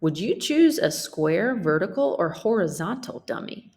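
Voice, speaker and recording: A woman's voice: mid-range voice, big pitch swings, a little slow, a little mellow. A woman teacher reading a picture book aloud as a lesson for children, explaining things simply and checking in with questions.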